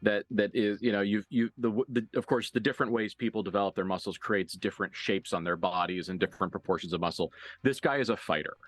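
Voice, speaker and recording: A man improvising narration as a game master for a horror tabletop game, conversational and halting, with graphic detail. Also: fairly low pitch, no room sound, faint music behind.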